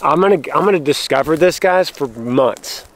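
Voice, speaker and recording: A man filming a walking vlog outdoors in rural woods on a handheld camera, talking excitedly to viewers and breaking off mid-sentence. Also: a little high, normal speed. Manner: excitable, breaks off and restarts, addresses viewers as guys. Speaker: vlogger showing storm damage. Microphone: handheld camera while walking.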